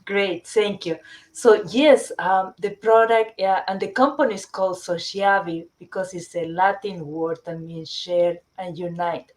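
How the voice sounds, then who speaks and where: A middle-aged woman from Chile, a company founder presenting her product in a webinar, often pausing with fillers and restarting sentences. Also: lowish voice, a little mellow. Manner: hesitant, many fillers and restarts.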